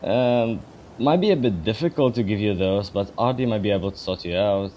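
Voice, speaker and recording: A man voicing a guarded, businesslike twelve-year-old boy guarding a village in a fan dub, speaking informally over faint rumbling noise. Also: brisk, dark tone, muffled recording, close to an everyday voice. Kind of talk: fan dub character dialogue.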